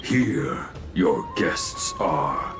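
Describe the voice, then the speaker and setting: A man's voice: rumbling low, big pitch swings, drawn-out words, music in the background, heavily acted. A man voicing a huge, ancient guardian giant in a video game, solemn and cryptic, with archaic phrasing. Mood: solemn, grave.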